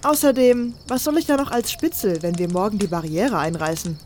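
A woman's voice: medium pitch, a little fast, darkish tone, a little hiss or hum, lightly acted. A woman playing a confident, blunt female rebel spy in a fantasy radio play. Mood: determined.

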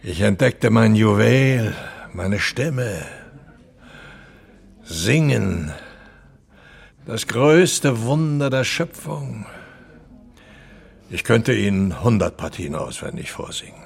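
Radio drama: aging male opera star, low-pitched, creaky, pompous and self-aggrandizing, declamatory delivery. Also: lively intonation, takes it slowly, pauses often, exaggerated voice. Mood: self-glorifying. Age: 80s. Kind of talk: German audio drama dialogue and monologue.

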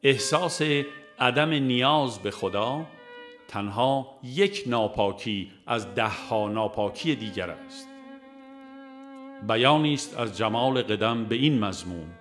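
A man narrating a religious audiobook in a formal, measured way with deliberate pauses. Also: lowish voice. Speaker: audiobook reader.

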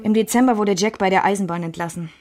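A woman played by an actress looks back on her troubled marriage in a radio play. Her voice sits at a middle pitch, and her delivery is reflective, literary narration. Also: fast talker, a little mellow, faint music behind, natural voice. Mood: reflective, resigned.

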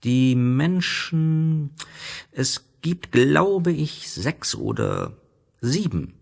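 Man as a high, wistful little desert flower. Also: sing-song, extremely slow, vocal fry, exaggerated voice. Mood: wistful, musing.

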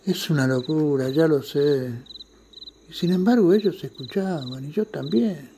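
Man acting superstitious argentine night watchman, high voice, slow colloquial muttering, lots of reverb, radio play. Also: background noise, lightly acted. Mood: uneasy, muttering. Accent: Argentine Rioplatense. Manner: colloquial, muttering to himself and exclaiming. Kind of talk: audio drama monologue. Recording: long hall-like reverb.

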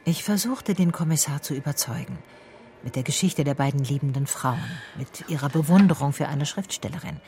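An actress playing a brooding, persistent private detective in a radio drama, measured and reflective. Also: female voice, low-pitched, mellow. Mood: reflective narration. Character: female private detective telling her own case, brooding, persistent. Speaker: narrator and lead. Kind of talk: radio drama first-person narration and dialogue.

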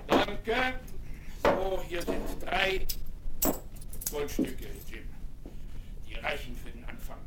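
An actor playing a hard-drinking old sea captain in a radio play, with a hoarse, growling voice and blustering commands. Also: male voice, on the high side, sing-song, takes it slowly, pauses often. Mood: gruff. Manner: blustering, commanding, bursts of shouting. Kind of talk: 1970s radio play acting. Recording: hissy vinyl record.

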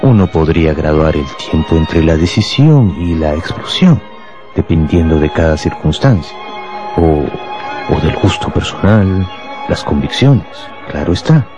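A deep, deliberate voice belongs to a fanatical bomb-making professor. He is proud and coldly menacing, savoring his own grandeur. Prominent music plays behind this radio drama performance. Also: animated. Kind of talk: Spanish-language radio drama acting.

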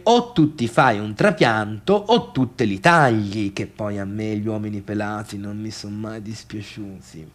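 A man acting a gossipy, needling party guest in a stage monologue, with a mid-pitched voice and slow delivery. He talks straight at his listeners like a domineering friend, insistent and repeating his phrases. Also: close to an everyday voice. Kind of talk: theatre monologue in Italian.

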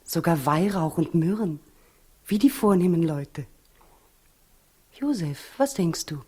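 A young mother in a 1960s radio play. Her is earnest and questioning, her voice mellow and somewhat low, with a breathy husk. She sounds trusting yet quietly proud. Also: expressive, slow pace, heavily acted. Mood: wondering. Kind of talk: 1960s German radio drama acting.

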